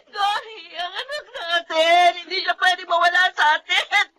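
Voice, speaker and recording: A woman's voice: very high voice, normal speed, crowd noise. An actress playing a grieving young mother in denial, in an emotional, pleading radio melodrama style. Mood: sobbing grief. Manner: emotional, wailing, pleading delivery. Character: grieving young mother, desperate, in denial over her child's death. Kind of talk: Filipino radio melodrama acting.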